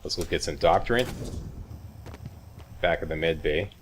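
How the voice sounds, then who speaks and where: Very low-voiced male game walkthrough host. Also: moderate pace. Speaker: gaming video host. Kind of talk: casual game walkthrough commentary. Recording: clear background game noise. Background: video game ambience.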